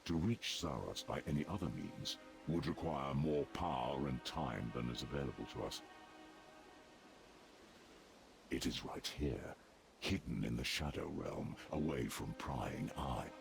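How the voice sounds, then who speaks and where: An actor playing a solemn, devout ancient servant of a dark god in a fantasy video game, speaking formally and gravely. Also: male voice, animated, heavily acted. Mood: grave, explaining. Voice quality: very deep, gravelly. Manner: formal, grave, measured and declarative. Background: music.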